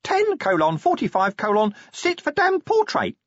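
A shrill pleading gadget imp. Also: male voice, huge pitch swings, no room sound, exaggerated voice. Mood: shrieking. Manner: fast, pleading, salesman-like chatter. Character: shrill small male imp in a gadget, eager. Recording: dry, slightly dull recording.

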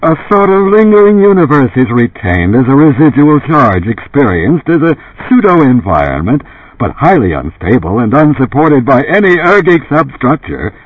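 A man voicing a brash older tycoon turned television pitchman in an audiobook, gravelly and emphatic, with a salesman's delivery. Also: sing-song, moderate pace, no echo, phone-quality sound. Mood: smooth hard sell. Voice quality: gravelly, dark, resonant. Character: dead older tycoon as booming, blunt TV pitchman.

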